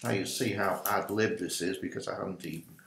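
Older man, gravelly animated minister. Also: low voice, brisk, roomy sound, slight background noise. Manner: animated, gesturing with both hands, ad-libbed. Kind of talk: informal teaching talk to camera. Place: small home study, indoors.

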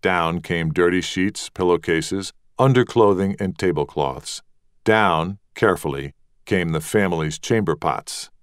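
A man narrating a literary audiobook with measured, deliberate phrasing. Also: deep voice, smooth, dry recording, studio quality.

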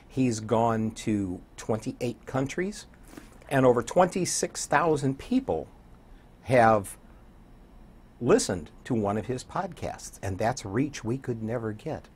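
A man in his sixties with a husky voice talks casually as a studio interview guest. His intonation is lively and animated, and he wanders through his stories at an easy, conversational level. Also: mid-range voice, slow. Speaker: radio program director, guest. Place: small TV studio, indoors.

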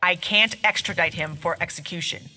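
A blunt tough soldier. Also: female voice, lowish voice, moderate pace, pushed and bright. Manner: blunt, sarcastic and commanding. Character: tough blunt female special forces soldier. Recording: game audio with music behind.

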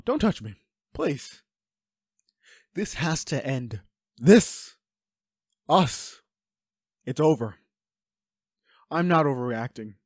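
A man's voice: on the high side, big pitch swings, slow pace, pauses often, darkish tone, no room sound, studio quality, exaggerated voice. A man playing an anguished, protective werewolf boyfriend in an audio roleplay, speaking with urgent, pleading emotion. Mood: shaken, guilty. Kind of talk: intimate dramatic roleplay acting.

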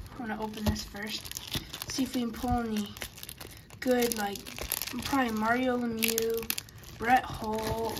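A woman's voice: on the high side, background noise. A woman chatting casually as she opens trading cards, stumbling over player names. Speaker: card collector. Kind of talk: casual card-opening commentary. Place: indoors at a table.

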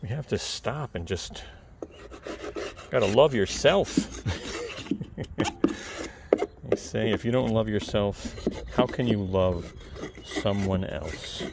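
A man with a soft, calm voice chatting casually to viewers while cleaning something outdoors on a lawn, filmed on a close-held camera. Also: on the low side, slow, vocal fry, pauses often, very noisy. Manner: chatty, rambling asides to the viewer. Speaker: video creator. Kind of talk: casual how-to talk while working. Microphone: camera held close, point of view.

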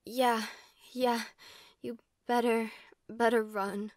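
A woman's voice: on the high side, little pitch movement, takes it slowly, no room sound. A woman playing a plucky, wisecracking young superhero in an audio drama, defiant in manner. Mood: shaken, resolute.

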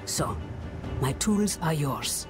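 A sombre swamp witch in a dark fantasy game. Her very low voice is slow, measured and guarded, with music playing behind it. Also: animated. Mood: guarded, sceptical.

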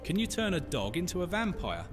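A man playing a fussy, excitable, touchy companion in a fantasy audio drama. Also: sits high, fairly quick, over music. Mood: eager. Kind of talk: immersive fantasy roleplay audiobook acting.

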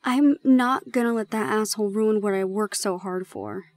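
A woman voicing a shy but stubborn young gorgon in an intimate romantic audio roleplay, sometimes dropping into breathy, whispery asides. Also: mid-range voice, moderate pace, a little mellow, no echo, close to an everyday voice. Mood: defiant, determined. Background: music.

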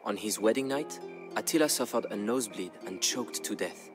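Solemn low-voiced man narrating over music. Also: animated. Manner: solemn, measured, storytelling delivery. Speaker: storyteller narrator of a historical game campaign. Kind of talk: scripted dramatic narration. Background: background music.

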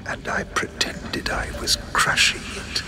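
An actor playing a menacing male renegade Time Lord villain in an audio drama, speaking in a slow sinister whisper. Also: music in the background, lightly acted.

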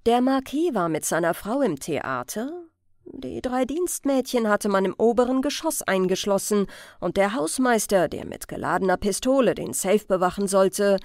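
A woman's voice: a little high, darkish tone, dry recording, studio quality. A woman narrating a crime audiobook as a scripted reading.

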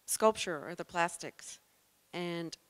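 A woman artist on a panel, telling her story in a reflective, unhurried way into a handheld mic in an art gallery. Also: fairly low pitch, clean tone. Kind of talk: personal reflection in a panel discussion.

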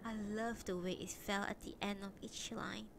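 A woman's voice: mid-range voice, steady tone, normal speed, vocal fry, noisy background, lightly acted. A woman reading the part of a cheerful, enthusiastic woman walker in a story, in a friendly manner. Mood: admiring.

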